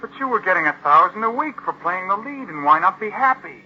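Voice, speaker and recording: An actor playing a dissolute, hungover young wastrel in a 1940s radio drama, peevish and desperate. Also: male voice, high voice, lively intonation, brisk. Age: young adult. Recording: muffled, noisy vintage radio drama recording.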